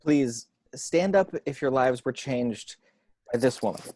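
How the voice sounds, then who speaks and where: Man playing a mellow, earnest dad giving a speech. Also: mid-range voice, animated, unhurried, no echo, clean studio sound. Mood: earnest, wry. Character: married American father giving humble, wry tribute speech. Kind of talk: speech in a script table read.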